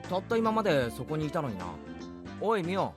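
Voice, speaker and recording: Japanese anime schoolboy, kinda high for a guy, super quick, cocky and casual, game music under it. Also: sing-song. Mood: puzzled. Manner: casual, boyish, blunt speech. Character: brash, teasing teenage schoolboy in anime visual novel. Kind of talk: visual novel voice acting.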